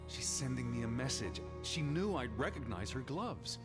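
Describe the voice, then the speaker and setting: An actor voicing an earnest, anxious American naturalist of the 1920s in a video game, with a formal, literary way of speaking. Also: male voice, medium pitch, very animated, normal speed, over music, lightly acted. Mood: agitated, hopeful.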